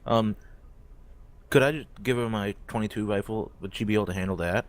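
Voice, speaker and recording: Deep-voiced man chatting as a game player. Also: brisk. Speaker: role-play game player. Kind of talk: casual game chat.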